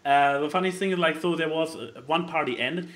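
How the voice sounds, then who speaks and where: A man who is not a native English speaker, chatting casually as a podcast co-host and haltingly searching for words as he explains things. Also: on the high side, fairly bright tone. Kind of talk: casual chat explaining a board game.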